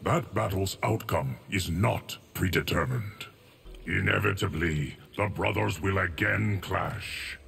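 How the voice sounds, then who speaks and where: An actor playing an ancient, stoic immortal guardian of time in a fighting game, speaking in formal, measured, portentous lines. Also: male voice, low voice, vocal fry, a bit bright, husky, full character voice. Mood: grave. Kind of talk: fighting game character dialogue. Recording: long reverb, music behind.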